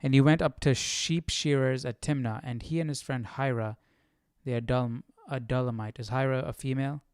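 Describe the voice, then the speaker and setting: A man's voice: medium pitch, fairly even intonation, moderate pace, a little mellow, clean tone, no room sound, clean studio sound. A man hosting a casual live Bible study, reading and puzzling aloud as he repeats phrases.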